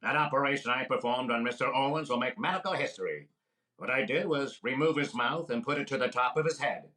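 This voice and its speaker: An actor playing a brash, fast-talking wisecracking comedian in a deadpan 1940s radio comedy style. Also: male voice, medium pitch, natural voice, rough recording. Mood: bossy deadpan.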